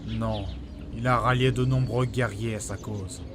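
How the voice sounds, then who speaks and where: A man playing a proud, authoritative mentor sage in an acted audio drama, speaking in a measured way. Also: on the low side, fairly even intonation, noisy background, close to an everyday voice. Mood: solemn. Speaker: actor in amateur audio drama. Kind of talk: acted audio fiction dialogue.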